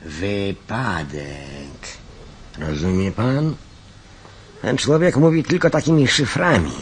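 A man's voice: on the low side, expressive, slow pace, slight background noise. An actor playing a suspicious, fearful male passenger in a radio drama, firing short insistent questions and repeating himself. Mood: suspicious, hushed.

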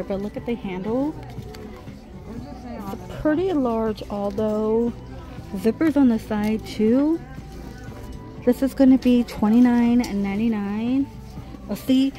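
A middle-aged woman vlogging a casual shopping trip, chatting and reading out prices as she browses a busy store. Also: mid-range voice. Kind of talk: casual shop-with-me narration. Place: busy discount clothing store.

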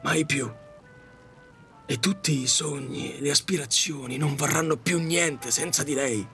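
A man performs a grieving, middle-aged taxi driver in an audio drama. His voice sits a little high for a man and halts as he confesses his regret. Music plays underneath. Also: creaky, pushed and bright, full character voice. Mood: impassioned regret. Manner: halting, confessional, with repetitions. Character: grieving, regretful widowed male taxi driver, self-reproaching. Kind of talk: Italian audio drama monologue.

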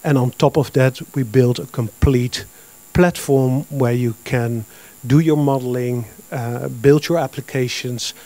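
A man in his fifties with a Dutch accent, giving a business presentation at a conference through a handheld microphone, talking plainly and filling pauses with uh and um. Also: medium pitch, steady tone, mellow. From Netherlands. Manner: plain, matter-of-fact, with frequent uh and um. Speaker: conference speaker. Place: large conference hall with audience.

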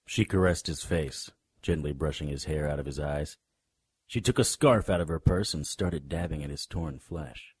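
Deep, husky hard-boiled detective. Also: male voice, moderate pace, a little mellow, no room sound. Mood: wry narration. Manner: wry, tough-guy delivery. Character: hard-boiled, wisecracking male private detective. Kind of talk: first-person noir radio drama narration.

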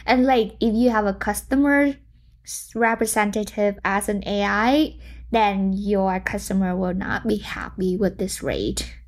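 A woman's voice: mid-range voice, steady tone, unhurried. A young woman hosting a casual screen-share tutorial, thinking aloud and reacting to results as she goes. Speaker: tech tutorial host. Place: indoor room.

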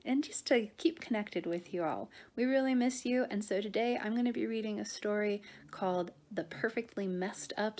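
A woman's voice: a little high, a little mellow. A woman in her thirties speaking to children as a storytime reader, recorded at arm's length in a living room. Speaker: storytime reader, prevention services worker. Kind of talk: gentle address to children and picture-book reading. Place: small living room, on a couch. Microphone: phone or camera at arm's length.

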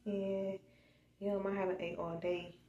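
A woman's voice: medium pitch, moderate pace, echoey room, muffled recording. A young woman chatting casually to her viewers from her apartment, sounding sleepy, filming on a phone held at arm's length. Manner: relaxed, chatty, addresses viewers as y'all. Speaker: vlogger. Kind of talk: casual vlog chat. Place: small apartment kitchen indoors.

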